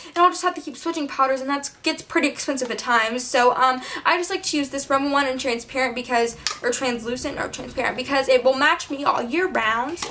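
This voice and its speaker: A teenage girl chatting her way through a makeup tutorial, full of fillers and self-mocking asides, her voice a little hoarse. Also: high voice, pushed and bright. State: slightly hoarse, losing her voice. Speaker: beauty vlogger. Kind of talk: casual makeup tutorial chat.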